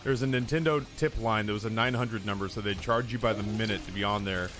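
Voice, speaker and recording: A man's voice: fairly low pitch, quick pace, fairly bright tone, faint music behind. A man in his thirties who streams, telling stories in a rambling, profane, self-mocking chat. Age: 30s. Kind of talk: casual storytelling chat.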